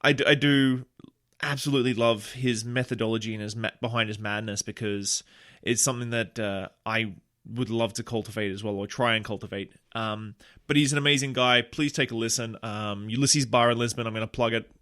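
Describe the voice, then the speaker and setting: Male podcast host, mid pitch, casual and eager, filler-heavy delivery. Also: steady tone, fairly quick, fairly bright tone. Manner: filler-heavy, enthusiastic, restarts. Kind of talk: casual podcast intro and interview. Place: small bar.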